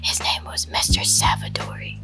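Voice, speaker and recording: A woman playing a coolly polite, menacing crime mastermind in a breathy whisper for a radio drama. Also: music in the background, natural voice. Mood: mocking menace. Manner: slow, mocking courtesy. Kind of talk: radio drama villain acting.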